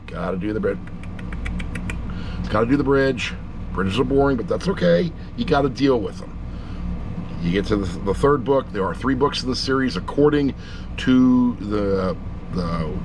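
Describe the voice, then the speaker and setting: A man's voice: fairly low pitch, noisy background. A middle-aged man giving a casual, rambling review, with blunt, jokey asides. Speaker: audiobook reviewer.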